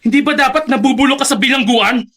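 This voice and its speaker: A man's voice: very high voice, fast, bright, forceful tone, exaggerated voice. A man with a Filipino accent playing a brash, resentful young adult son in a radio drama. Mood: scornful.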